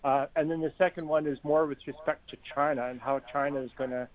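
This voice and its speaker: A man's voice: fairly high pitch, steady tone, quick pace. A man calling in as an investor to ask questions on a conference call, polite and somewhat tentative. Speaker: caller, investor client. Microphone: phone. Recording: tinny, narrow phone line.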